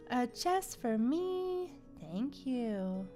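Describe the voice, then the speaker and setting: A woman's voice: fairly high pitch, mellow. A woman livestreamer giving casual, chatty gameplay commentary and reacting aloud to the game. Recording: game music behind.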